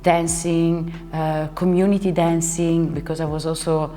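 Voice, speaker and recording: A young woman, a dancer and choreographer, chatting as an interview guest and often pausing to search for words. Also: low voice, little pitch movement, dark tone. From Italy. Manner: searching for words, restarts sentences, laughs often. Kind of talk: casual interview talk. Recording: hall reverb with faint music behind.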